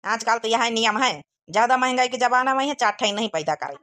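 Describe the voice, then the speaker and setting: A woman's voice: on the high side, animated, fairly quick, a bit bright. A woman voicing a practical grown village son and husband in a cartoon comedy, speaking firmly and matter-of-factly with an Awadhi accent. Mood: practical, protective.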